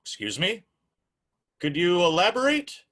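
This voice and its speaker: A man's voice: high voice, wildly swooping pitch, measured pace, a bit bright, exaggerated voice. A man playing a formal, courteous, easily flustered host of an old-time radio show, with theatrical delivery. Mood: flustered, exasperated.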